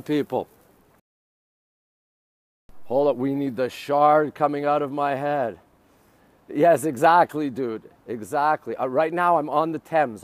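A man's voice: fairly high pitch, no room sound. A middle-aged man chatting casually to live-stream viewers outdoors, in short, repeated exclamatory phrases. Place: outdoors on city streets by a river.

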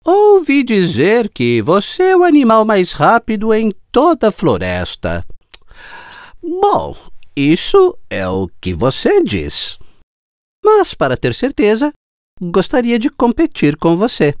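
Woman doing a calm confident cartoon turtle, really slow talker, swoopy pitch, dry close sound. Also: no room sound. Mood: confident, challenging. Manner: slow, deliberate, drawn-out delivery. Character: calm, confident slow tortoise challenging a hare.

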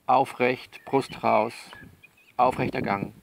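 A man's voice: medium pitch, fairly even intonation, takes it slowly, vocal fry, poor audio. A man in a conversational, improvised one-sided monologue, coaxing and bossing a listener along and often repeating himself. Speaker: performer of his own audio novel.

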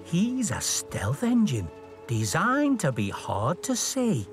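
A man's voice: on the high side, huge pitch swings, takes it slowly, faint music behind, full character voice. A man voicing a quirky, playful female experimental engine with a sing-song, peeping manner in a children's story.

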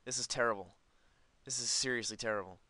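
A man's voice: medium pitch, fast, sharp tone, no room sound. A man giving casual let's play commentary, rambling and thinking aloud as host of a gaming video.

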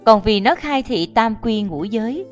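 A woman narrating an animated children's story from a script. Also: on the high side, a little slow. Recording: muffled audio under music.

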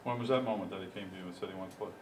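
A man's voice: low-pitched, rapid-fire. A man reporting at a press conference, asking chatty questions from off camera. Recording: loud noisy echoey room from a distance.

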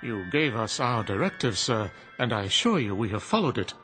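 An actor playing a formal, logical robot servant in a radio drama, precise and polite. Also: male voice, lowish voice, sing-song, moderate pace, fairly bright tone, soft background music. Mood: questioning.

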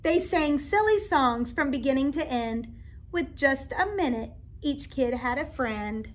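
A woman in her forties, a kindergarten teacher, reading a storybook aloud to young children in an expressive, animated way. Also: high-pitched, a little slow, slight background noise. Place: indoor classroom.

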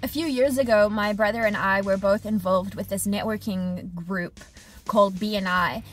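Woman in her thirties, tradesperson vlogging in her truck, lively and expressive, mid-pitched, faint music behind. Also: normal speed. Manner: animated, expressive, gesturing with hands. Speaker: HVAC technician and business owner. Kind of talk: casual vlog diary. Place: inside a parked truck cab. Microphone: camera on dashboard at arm's length.

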